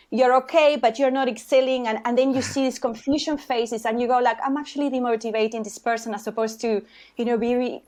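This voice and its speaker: A woman from Uruguay with a Spanish accent, talking as a guest on a podcast about her consulting work in a reflective, conversational way. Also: on the high side. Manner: reflective, conversational, with fillers and restarts. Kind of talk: podcast interview.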